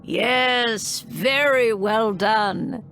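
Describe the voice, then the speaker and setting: A woman's voice: on the high side, drawn-out words, a little hiss or hum, heavily acted. A woman playing a playful older witch in a toy body in a video game, with a grand, teasing delivery. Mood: amused approval. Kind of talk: scripted video game voice acting. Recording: game music loud behind voice. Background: loud game music.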